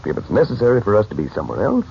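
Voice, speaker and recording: An actor playing a calm, secretive uncle with mystic powers in a 1940s radio serial, speaking in a measured, guarded, reassuring way. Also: male voice, on the low side, creaky, heavily acted. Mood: calm, serious. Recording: muffled, noisy 1940s radio recording.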